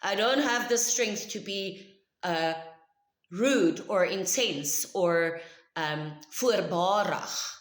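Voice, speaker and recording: A woman with a South African accent whose first language is Afrikaans tells a personal story to camera. She speaks loudly and emphatically in a chatty way. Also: medium pitch, takes it slowly, roomy sound. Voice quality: loud voice. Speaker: vlogger.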